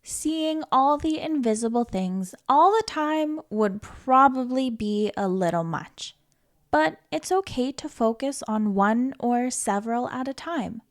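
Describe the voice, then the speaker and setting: A woman reading a children's picture book aloud in a gentle, playful, reassuring way, sometimes giggling. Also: a little high, animated, measured pace. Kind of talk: read-aloud storytime.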